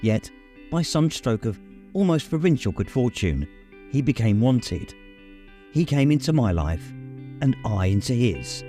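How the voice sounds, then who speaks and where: A man reading a memoir aloud as an audiobook narrator, in measured storytelling phrases. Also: medium pitch, big pitch swings, dark tone, soft background music. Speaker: audiobook reader. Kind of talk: scripted literary narration.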